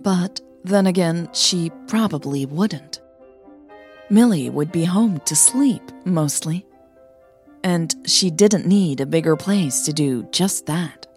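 A woman narrating a scripted audio story as a podcast host, with measured, deliberate phrasing. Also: lowish voice, darkish tone, faint music behind.

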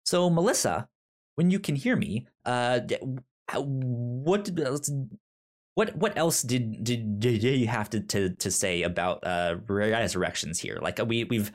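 A man's voice: mid-range voice, animated, a little slow. A man co-hosting a casual film podcast over a remote call, stammering and restarting his sentences often. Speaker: podcast co-host.

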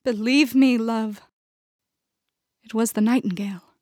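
A woman's voice: a little high, fairly even intonation, unhurried, darkish tone, no room sound, studio quality, natural voice. A woman voicing a young female acting student who is pushy and passionate, pleading and insisting in her lines. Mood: reciting.

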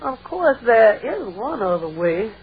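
Dull lo-fi radio sound, comic housemaid, folksy, drawling, wisecracking, mid-century sitcom delivery. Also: female voice, fairly high pitch, sing-song, husky, full character voice. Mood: scheming, amused. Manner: folksy, drawling, quick to quip. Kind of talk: 1940s-50s radio sitcom acting. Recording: dull, lo-fi vintage radio recording.

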